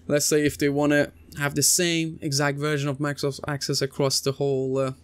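Man teaching a lesson, clear dry sound. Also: on the high side, completely flat delivery, a little fast, no room sound. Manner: conversational, many uh fillers and restarts. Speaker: computing teacher. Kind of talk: recorded lesson over slides. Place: small indoor room, seated at desk.

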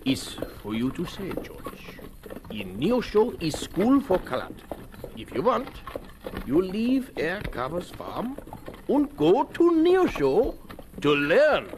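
An actor playing a gruff, kindly older immigrant farmer with a German accent and emphatic broken English in a radio drama. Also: male voice, high voice, huge pitch swings, slow pace, full character voice. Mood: earnest, insistent. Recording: noisy old radio drama recording.

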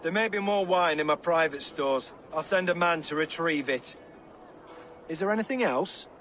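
A man's voice: on the high side, moderate pace, a bit bright, slight background noise, natural voice. Man acting a weary sardonic Norse lord. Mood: weary sarcasm. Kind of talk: video game dialogue acting.

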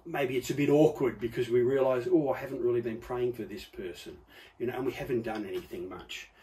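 A man in his fifties gives a conversational sermon in a mellow, relaxed voice. He explains each point with deliberate stresses and pauses. The microphone sits a little way off from him. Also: mid-range voice. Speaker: preacher. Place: book-lined study or office indoors. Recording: slightly distant microphone.

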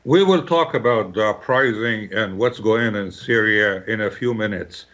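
Bright-voiced radio host man. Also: fairly low pitch, moderate pace. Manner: searching, rephrasing questions. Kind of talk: radio interview.

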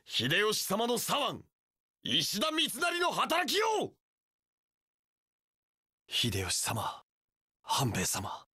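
A vengeful young samurai warlord for an action game. His voice is harsh, gravelly and very forceful. He draws his words out slowly and theatrically, seething with fanatical loyalty. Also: high voice, no room sound, clean studio sound, full character voice. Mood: frenzied, then reverent. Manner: seething, theatrical, bursting into screams. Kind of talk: anime-style action game voice acting.

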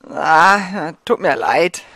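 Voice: medium pitch, lively intonation, very slow, sharp tone, dry recording, exaggerated voice. The apologetic, dreamy monster sidekick in a cartoon game parody, voice swinging up and down as an actor plays it. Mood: meek, apologetic. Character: polite, meek, dreamy creeper monster. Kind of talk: cartoon fandub acting.

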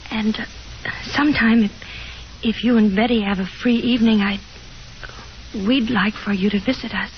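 Shy young woman, an actress, slightly high voice. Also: fairly even intonation, normal speed, pauses often, a little hiss or hum, close to an everyday voice. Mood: quiet, hurt. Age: young adult. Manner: shy, hesitant, gentle. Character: shy, fragile young woman, gentle and sincere. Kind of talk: 1950s radio drama acting. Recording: dull 1950s radio broadcast, music behind.

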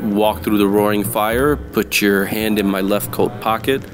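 A hardboiled supernatural detective from a radio play, acted by a man, talks low and tough. He is wry, slangy and unflappable, with music behind him. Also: fairly even intonation, a little slow, close to an everyday voice. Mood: smug, wry.

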